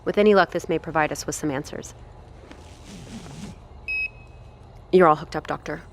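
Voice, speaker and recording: Low female voice, composed scientist in charge, grave and kind, radio drama. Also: fast talker, slight background noise. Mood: grave, steady. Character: composed woman doctor leading a grim experiment, gentle but authoritative. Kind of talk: audio drama acting.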